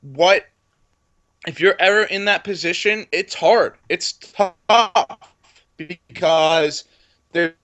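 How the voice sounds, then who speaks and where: A man in his twenties talks about his business with easy confidence. His voice sits a bit high, and he often doubles the first words of a sentence before finding his stride. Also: a bit bright. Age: 20s. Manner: confident, repeats words when starting sentences. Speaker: entrepreneur guest. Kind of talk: casual interview talk.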